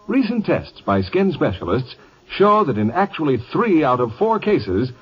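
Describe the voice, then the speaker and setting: Oldtime radio ad guy, really animated, husky voice, dull recording. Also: mid-range voice. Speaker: commercial announcer. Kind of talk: 1950 radio advertising pitch.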